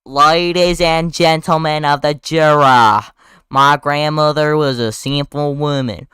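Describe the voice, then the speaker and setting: Man acting a pompous courtroom lawyer, exaggerated Southern drawl, loud, folksy, theatrical, dry close sound. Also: fairly high pitch, steady tone, clean tone, no room sound, voice pushed up. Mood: grandiose. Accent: exaggerated American Southern. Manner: slow, heavy drawl with grandiose oratory.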